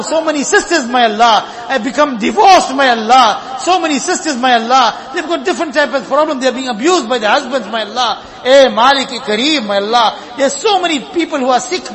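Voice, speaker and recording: A man leading an emotional supplication in a mosque, pleading, his voice rising and then dropping low on each refrain, tearful at times. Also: a bit bright, long reverb tail. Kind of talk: emotional congregational du'a.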